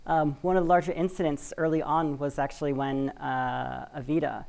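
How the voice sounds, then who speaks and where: A woman's voice: low-pitched, flat delivery, moderate pace, creaky, darkish tone. A woman from Washington State giving a live conference talk, speaking conversationally with many fillers and hedging words. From Washington State, USA. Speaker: conference speaker for a community cooperative. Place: conference stage, in front of an audience.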